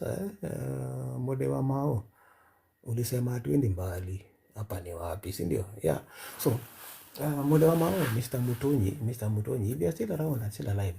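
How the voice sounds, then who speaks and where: Gravelly, animated Kenyan man in his fifties. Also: medium pitch. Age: 50s. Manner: animated, repeats words, mixes in Swahili. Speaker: vlogger, comedian and doctor. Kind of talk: rambling storytelling monologue.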